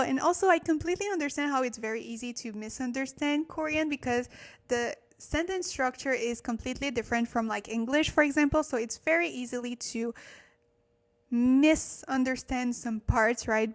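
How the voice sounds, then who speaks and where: A woman's voice: high voice, normal speed. A woman whose first language is French, speaking English while hosting a casual livestream Korean lesson, thinking aloud with fillers and self-corrections. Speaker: livestream host teaching Korean.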